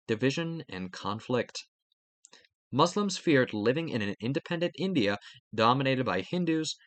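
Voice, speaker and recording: A man's voice: mid-range voice, no room sound. Man reading a history textbook aloud. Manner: lively reading with asides to students; occasional stumbles and restarts. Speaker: textbook reader.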